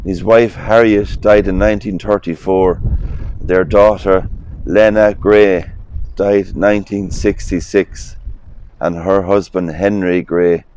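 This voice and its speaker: A hoarse man with an Irish accent, casually vlogging as he walks an old cemetery and reads headstones aloud in a gentle, unhurried way. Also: sits low, little pitch movement, slight background noise, rough recording. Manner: gentle, unhurried, with frequent "uh" pauses. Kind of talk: casual walking vlog, reading headstones aloud.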